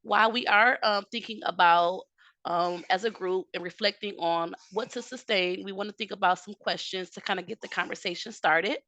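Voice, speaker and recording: Bright, dry-sounding woman presenting a webinar. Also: medium pitch, normal speed, no echo. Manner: steady, explanatory, frequent "um" fillers. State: slightly hoarse from a cold. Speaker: technical assistance presenter. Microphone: video call.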